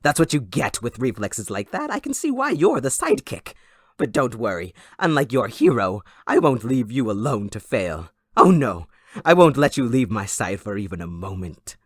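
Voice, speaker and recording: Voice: medium pitch, big pitch swings, moderate pace, dry recording, studio quality. A performer voicing a gleeful, sadistic supervillain kidnapper in an audio roleplay, theatrical, mocking and possessive toward a captive listener. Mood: manic taunting. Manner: theatrical, mocking, giggling, swooping between croon and shriek.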